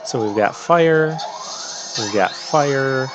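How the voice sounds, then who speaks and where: A man giving casual, halting commentary as he plays through a video game. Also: mid-range voice, animated, dull audio. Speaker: gamer narrating a playthrough. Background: video game music.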